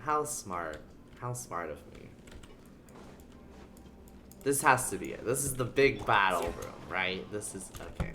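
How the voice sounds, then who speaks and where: Male game streamer, low register, casual and wry, reads aloud, faint noise and keyboard typing. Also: expressive, a little slow, pauses often, pushed and bright. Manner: playful, sarcastic asides. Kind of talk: casual livestream gameplay commentary, reading game text aloud.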